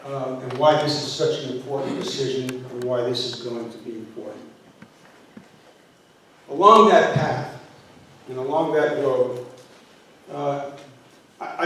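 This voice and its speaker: An older man from northeastern Pennsylvania, Polish his first language, speaks to an audience in an earnest, halting way, full of fillers and restarts. His voice sits at a middle pitch. It comes from some distance away, in a hall with heavy echo and a faint hiss behind it. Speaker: university president candidate. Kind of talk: public talk to an audience. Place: university hall. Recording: echoey room, from far off.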